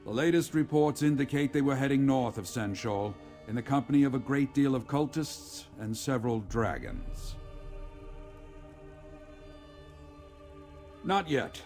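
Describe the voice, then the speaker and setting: A man playing a remorseful, resolute middle-aged general in a video game, with a gravelly voice and formal, measured military speech. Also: medium pitch, darkish tone, faint music behind, close to an everyday voice. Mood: businesslike. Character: remorseful, resolute veteran male general, father of a grown daughter. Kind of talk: scripted video game character dialogue.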